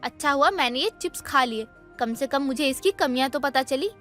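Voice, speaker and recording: A woman's voice: sits high, expressive, a little fast, a bit bright, soft background music. A woman playing a young daughter-in-law in a dramatized moral story, dutiful yet defensive, with an earnest, explanatory way of talking. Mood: defensive, indignant.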